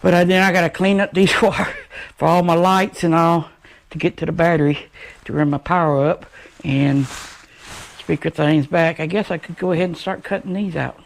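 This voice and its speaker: A man's voice: on the high side, fairly even intonation, normal speed, vocal fry. An American man chatting casually through a DIY job in a folksy, rambling way, muttering to himself as he works. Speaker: DIY motorcycle vlogger. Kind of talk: casual unscripted how-to vlog chat. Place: shed workshop. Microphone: action camera. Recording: noisy action-camera audio with clicks.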